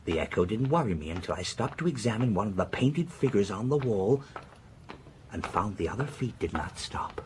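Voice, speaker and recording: A man's voice: lowish voice, big pitch swings, normal speed, a little hiss or hum. An actor playing a frank, worldly professor in a radio drama, telling his story in a confiding, dramatic way. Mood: awed, haunted. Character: worldly, frank male professor recounting adventures.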